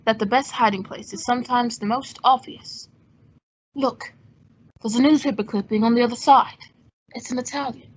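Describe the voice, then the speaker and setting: A woman playing a cool, quick-witted male adventurer and sleuth in an old-time radio drama. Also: a little high, fairly even intonation, slightly rough. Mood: focused. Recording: dull lo-fi audio.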